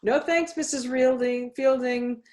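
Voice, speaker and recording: A woman reads the part of a young boy in a storybook, earnest and polite. He speaks slowly and plainly, with firm determination. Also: high-pitched, fairly even intonation, darkish tone, pitched above the performer's own voice. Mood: determined.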